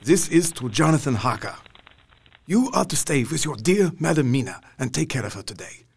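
An actor playing Van Helsing, an elderly vampire hunter, speaking in broken, grandly declaimed English. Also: male voice, mid-range voice, big pitch swings, normal speed, gravelly, husky, full character voice. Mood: resolute. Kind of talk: audio drama acting.

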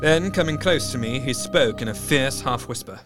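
An actor playing a careworn Victorian doctor, narrating his diary with formal, measured diction in a gothic audio drama. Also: mid-range voice, animated, a bit bright, close to an everyday voice. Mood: grave, worried. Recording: faint music behind. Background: music.

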